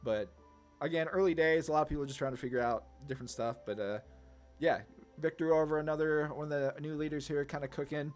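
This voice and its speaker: A man's voice: a little high, steady tone, fast talker, faint music behind. A man giving casual, slangy card game commentary, thinking aloud hesitantly as he plays. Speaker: gaming channel host.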